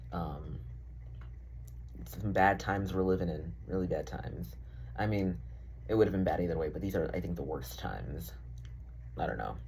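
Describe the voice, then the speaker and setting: A man's voice: low-pitched, creaky, a little mellow, a little hiss or hum, poor audio. A young man rambling casually in a diary-style vlog, full of fillers, swearing and self-deprecating asides. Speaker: vlogger.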